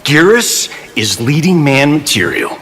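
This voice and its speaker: A man's voice: on the high side, very animated, unhurried, a bit bright. A man playing a cocky, wisecracking Hollywood action star in a video game, with a flippant delivery. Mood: cocky, teasing. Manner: flippant, casual, brash. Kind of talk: video game character banter.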